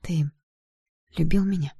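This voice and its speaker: A male narrator plays a gentle young woman with a breaking heart. The voice is husky and soft-edged, lifted a little in pitch. She pleads haltingly, self-sacrificing, in romantic storybook drama. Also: fairly even intonation, no room sound, studio quality. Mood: tender, sorrowful. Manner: imploring, halting.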